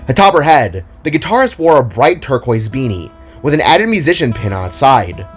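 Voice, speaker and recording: Expressive male storyteller with lively pitch. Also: normal speed. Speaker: narrator and writer of an audio drama. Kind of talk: scripted story narration, audio drama. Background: solo acoustic guitar music.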